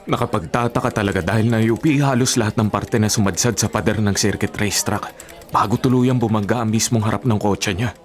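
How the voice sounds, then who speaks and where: An actor with a Filipino accent playing a skeptical, authoritative racing team chief in a radio drama. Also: male voice, lowish voice, brisk, close to an everyday voice. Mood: serious, directive. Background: music.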